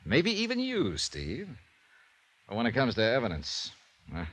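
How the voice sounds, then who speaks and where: A man's voice: mid-range voice, huge pitch swings, unhurried, fairly bright tone, exaggerated voice. A man playing a wry, sardonic American insurance investigator in a 1950s radio detective drama, speaking hard-boiled dialogue and narration. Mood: brooding, sardonic.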